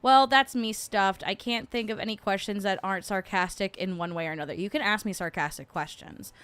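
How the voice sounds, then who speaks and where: A woman's voice: medium pitch, a little fast, fairly bright tone, clean tone, dry recording. A woman hosting a livestream, chatting casually and informally with her viewers. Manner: informal, conversational. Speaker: livestream host.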